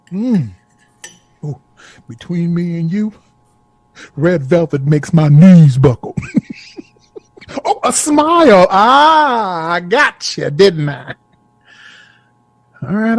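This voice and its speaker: A woman's voice: on the low side, darkish tone, dry recording. A woman playing a chatty, kindly, teasing care worker in an audio drama, playful and coaxing.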